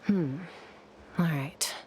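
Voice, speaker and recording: A woman voicing a composed, decisive young female warrior in video game dialogue, with a measured and businesslike manner. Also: deep voice, background noise. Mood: resolute, focused.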